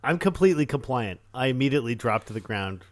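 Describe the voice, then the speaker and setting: A man's voice: mid-range voice, lively intonation, fast. A man playing a tabletop game, bantering casually in a wry, joking way on a very noisy recording with clicks.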